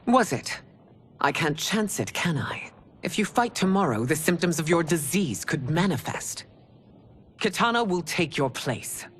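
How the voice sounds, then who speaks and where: A woman playing a stern middle-aged empress and mother in a video game cutscene, speaking formally and with measured command. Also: lowish voice, big pitch swings, a little hiss or hum. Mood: cold, reproachful.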